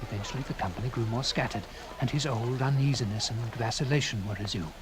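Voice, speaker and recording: Noisy ambient recording, male narrator, mid-pitched voice, dramatic literary storytelling, theatrical and deliberate phrasing. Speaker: narrator reading a classic short story. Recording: clearly noisy with background ambience.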